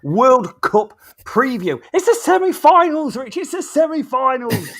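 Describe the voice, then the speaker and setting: A man's voice: very high voice, very animated, measured pace, a bit bright. Upbeat English man opening a podcast. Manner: upbeat, friendly, says "mate" a lot. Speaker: podcast co-host. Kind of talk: casual cricket chat.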